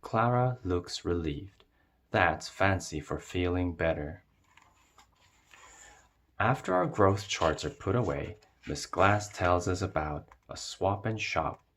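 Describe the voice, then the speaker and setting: A man with a low, husky voice reads a children's storybook aloud. He takes his time, and his pitch moves expressively as he narrates. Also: lively intonation, slow, a bit bright.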